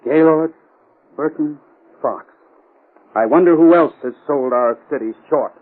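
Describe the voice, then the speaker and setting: An honest, indignant city official. His voice runs a little high, in vintage radio drama style on a muffled, narrow recording. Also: unhurried. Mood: grim. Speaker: actor. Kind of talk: 1930s radio drama acting.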